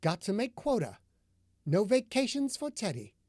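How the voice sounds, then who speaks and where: A woman narrator voicing a volatile, bitter young woman who shouts in high, clipped bursts. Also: big pitch swings, moderate pace, no room sound, clean studio sound. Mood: mocking.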